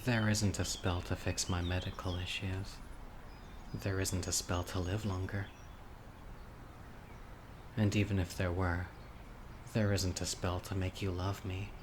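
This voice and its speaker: Man acting a slow, low-voiced obsessive male witch. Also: flat delivery, noisy background. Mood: tender, needy. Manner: slow, deliberate, intimate pleading. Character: obsessive, dying young male witch, devoted yandere lover. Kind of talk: audio roleplay, dramatic monologue to listener. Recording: outdoor nature ambience, crickets and birds. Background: insects, birdsong.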